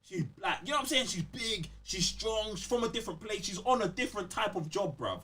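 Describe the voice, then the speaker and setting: A man's voice: high voice, animated, brisk, pushed and bright, distant mic. A man with a London accent chatting casually as a podcast co-host, slangy and emphatic, often checking that the listener follows. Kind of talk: casual game review chat.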